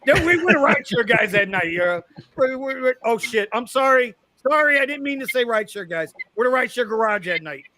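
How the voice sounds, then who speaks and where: A man co-hosting a casual livestream chat, excitable and quick to swear, calling his guest bro. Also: very high voice, no echo. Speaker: co-host.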